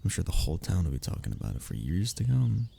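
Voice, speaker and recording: A young man acting an affectionate, playful best friend in an intimate audio roleplay, soft-spoken and gently teasing, with a gravelly, husky voice. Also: low-pitched, very animated, quick pace, exaggerated voice. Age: young adult.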